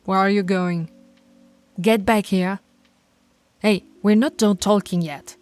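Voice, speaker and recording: A woman voicing a dominant, stern alpha werewolf pack leader in an ASMR roleplay, scolding and taunting in a commanding, deliberate way. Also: mid-range voice, fairly even intonation, darkish tone, soft background music, close to an everyday voice.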